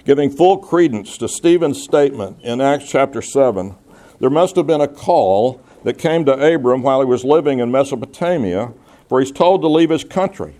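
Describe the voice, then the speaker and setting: A man preaching in a church service, reading Bible passages and explaining them in a measured, teacherly way as he reasons aloud. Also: medium pitch, lively intonation, warm tone.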